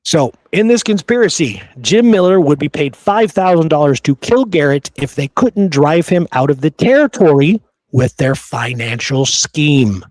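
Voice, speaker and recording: Emphatic man with a higher-pitched voice. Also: very animated, moderate pace, darkish tone, no room sound. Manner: emphatic, argumentative, exasperated delivery. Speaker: podcast host. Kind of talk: casual history discussion.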